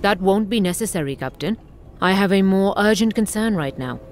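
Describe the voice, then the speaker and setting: A woman's voice: mid-range voice, lively intonation, a little fast, slight background noise, natural voice. A woman playing an evasive, imperious corporate station director in a video game, formal and condescending. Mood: cool, condescending. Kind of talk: video game dramatic acting.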